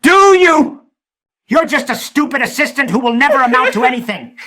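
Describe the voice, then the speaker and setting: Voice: high-pitched, lively intonation, moderate pace, bright, forceful tone. An actor voicing a furious, scornful mad scientist in a cartoon comic dub, ranting with sneering sarcasm. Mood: scornful rage.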